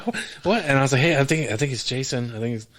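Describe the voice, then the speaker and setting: A young man co-hosting a podcast in casual chat, animated and acting out other people's voices when he tells stories. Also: medium pitch, fast talker. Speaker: podcast co-host.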